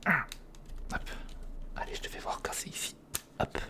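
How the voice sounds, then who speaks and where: A man's voice: moderate pace, pushed and bright. A man giving whispered ASMR commentary on a hands-on build, murmuring to himself as he thinks and chatting informally to the viewer. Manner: murmurs to himself while thinking through steps; informal, chummy address to the viewer. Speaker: ASMR video host.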